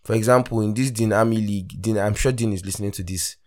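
A Nigerian man hosting a casual solo fantasy football podcast, rambling with lots of fillers and restarts, his voice still deep from the morning. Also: fairly even intonation, fast, darkish tone. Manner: rambling, many fillers and self-corrections. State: morning voice, deeper than usual. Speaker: podcast host.

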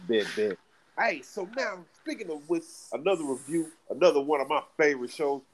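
A man co-hosting a casual podcast, talking in a hyped, slangy way and repeating words when excited. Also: fairly high pitch, fairly quick, slight background noise. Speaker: podcast co-host.

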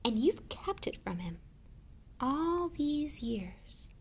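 Lady doing a huge gruff storybook giant guy, booming n growly, blunt rustic talk, big hearted but indignant. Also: lively intonation, moderate pace, bright, forceful tone. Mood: trembling rage. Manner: rustic dialect, blunt, emphatic.

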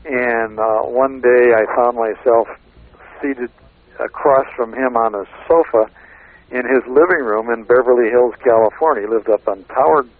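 A man's voice: medium pitch, normal speed, narrow, tinny audio. A man guest reminiscing casually about old radio interviews, hesitant and full of fillers.

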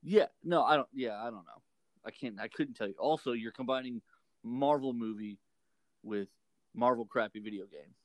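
Texan man, casual co-host, argumentative joker, dry close mic, middling pitch. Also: no echo. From Texas. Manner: joking, argumentative, quick to correct. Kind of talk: casual chat.

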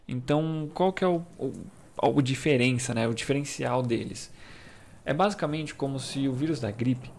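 Young brazilian man, channel host, casual and chatty, mid-pitched voice, faint hiss behind. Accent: Brazilian Portuguese. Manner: chatty, informal, explains with fillers and asides. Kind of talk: casual explainer video.

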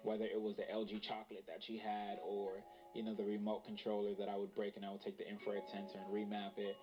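A man's voice: fairly low pitch, monotone, quick pace. A young man raised in Los Angeles and born in Ghana, earnestly reflecting in an interview clip with casual fillers. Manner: earnest, fillers of "like" and "you know". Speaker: tech designer in a profile clip. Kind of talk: reflective personal interview monologue. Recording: dull clip played with music behind. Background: music.

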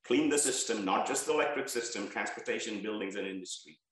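A man's voice: fairly low pitch, fast, fairly bright tone, heavy reverb. A man from Bangladesh, an energy research head, giving earnest interview answers and listing points with stress on key words.

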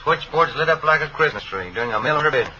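A man's voice: on the high side, expressive, rapid-fire, lightly acted. A man acting a wry, easygoing police detective partner in a 1950s radio crime drama. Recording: noisy vintage radio recording.

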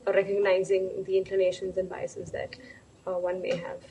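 A woman's voice: mid-range voice, monotone, moderate pace, gravelly, mellow, slight background noise, poor audio. A woman interviewing a scholar, asking long, reflective questions that she frames carefully before getting to the point.

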